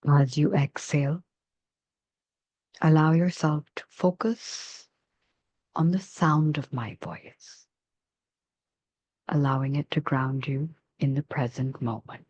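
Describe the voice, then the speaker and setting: A woman leading a guided meditation in a soft, soothing, measured way with long pauses between phrases. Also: low-pitched, fairly even intonation, no room sound, lo-fi sound.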